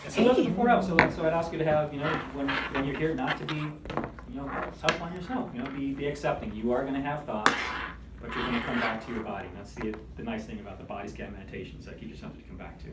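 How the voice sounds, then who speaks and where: A man leading a meditation class, speaking in a gentle, soothing, conversational way. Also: mid-range voice, quick pace. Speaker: meditation instructor. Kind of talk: guided meditation instruction. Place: room with attendees seated in chairs. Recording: echoey room, distant mic, background noise.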